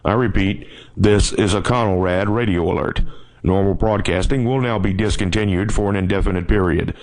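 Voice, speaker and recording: A man's voice: low-pitched, normal speed. A man reading a scripted emergency alert in a formal, official mid-century radio announcer style.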